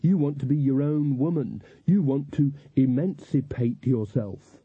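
A man's voice: fairly high pitch, expressive, unhurried, husky, pitched above the performer's own voice. A man voicing an earnest, encouraging fairy godmother, a woman who is insistent but easily flustered, in an audiobook story. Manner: insistent, hesitant when challenged. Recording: echoey, narrow phone-like audio.

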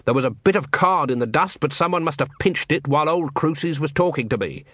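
Man voicing a gruff, sardonic watch captain. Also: a little high, lively intonation, fairly quick, fairly bright tone, no echo. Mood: grim, wry. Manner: dry, sardonic. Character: gruff, wry male captain of the city watch.

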